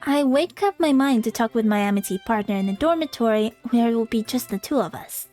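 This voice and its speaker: Female game streamer, higher voice, expressive narration mixed with offhand remarks, background music. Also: fairly quick. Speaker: streamer reading a visual novel aloud. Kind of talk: expressive read-aloud with casual commentary. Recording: music playing behind the voice.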